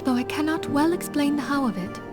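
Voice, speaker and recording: An actress as a learned fantasy noblewoman, earnest and resolute. Her voice sits fairly high, and she speaks in measured, archaic phrases. Music plays clearly underneath, as in a game cutscene. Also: lightly acted. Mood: grave, reflective. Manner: formal, measured, archaic diction. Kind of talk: video game cutscene acting. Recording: orchestral music behind the voice. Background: background music.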